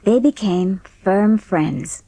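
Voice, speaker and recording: A woman's voice: mid-range voice, drawn-out words, gravelly, dark tone. A woman reading scripted storybook narration as the narrator of a dramatised audiobook.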